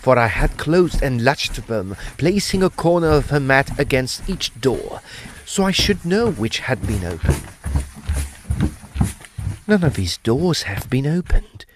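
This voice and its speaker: A man's voice: medium pitch, slight background noise. An actor playing a grave, intrepid ghost hunter, narrating his own ghost story in a theatrical, suspenseful way. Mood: urgent, investigating. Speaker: actor narrating an audio drama. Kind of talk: dramatic first-person ghost story narration.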